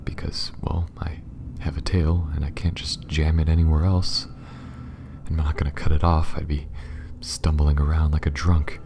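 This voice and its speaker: A man playing a calm, reassuring alien spaceship pilot in an ASMR roleplay, speaking softly and haltingly, often near a whisper. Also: a bass voice, gravelly, a little hiss or hum. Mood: apologetic, explaining. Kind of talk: ASMR roleplay addressed to the listener.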